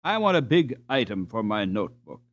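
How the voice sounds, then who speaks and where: Guy doing an eager chatty bookish boy from a storybook, pitch jumping all over. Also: very animated, a little fast. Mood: eager, amused. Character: eager, talkative, bookish schoolboy traveler. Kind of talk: storybook character dialogue.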